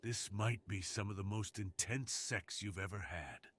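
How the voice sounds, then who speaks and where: Guy doing a smooth talking crime boss for asmr roleplay, lowish voice, hushed and teasing, dominant but tender. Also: a little hiss or hum. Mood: dominant, admiring. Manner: slow, teasing, hushed delivery that drops into whispers. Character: alluring, dominant, seductive male crime boss with a tender side.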